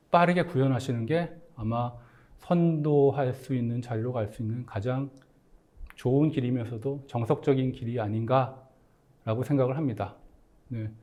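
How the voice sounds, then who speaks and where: A man's voice: mid-range voice, a little mellow. Man presenting in polite business tones. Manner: polite, conversational delivery with English tech jargon. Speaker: company executive presenting at a webinar.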